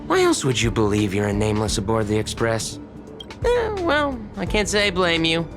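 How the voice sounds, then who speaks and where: Snide game researcher, very animated pitch, teasing, chatty, condescending, faint music behind. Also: normal speed. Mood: smug, pitying. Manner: mocking, chatty, exaggerated, scoffing. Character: condescending, teasing space station research colleague. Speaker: researcher character. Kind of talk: animated video game dialogue.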